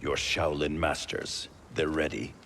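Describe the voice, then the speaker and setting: A gravelly, very deep warrior. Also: male voice, steady tone, a little slow, very noisy, heavily acted. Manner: grave, commanding, terse. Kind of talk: dramatic video game cutscene acting.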